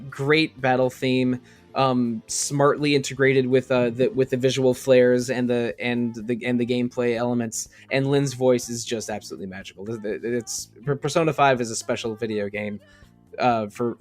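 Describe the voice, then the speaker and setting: A man chatting on a podcast panel about game music, enthusiastic and halting, often restarting and repeating words. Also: medium pitch.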